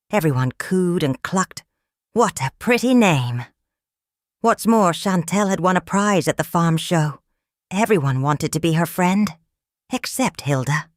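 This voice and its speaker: A woman reading a children's picture book aloud in a calm, measured, expressive storytelling manner. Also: fairly low pitch, no echo, clean studio sound. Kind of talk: children's read-aloud narration.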